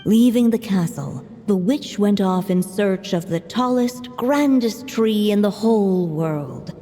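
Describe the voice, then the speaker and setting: Storybook narrator voiced by a woman: solemn, very slow, mellow, music and reverb. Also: mid-range voice, lively intonation, natural voice. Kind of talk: fairy-tale narration in a game.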